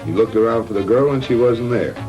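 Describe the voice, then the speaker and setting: A man playing a calm, frank middle-aged hospital doctor in a measured, matter-of-fact 1960s B-movie style. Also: mid-range voice, highs cut off, music in the background, lightly acted. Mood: grave, recounting. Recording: noisy old 1960s film soundtrack.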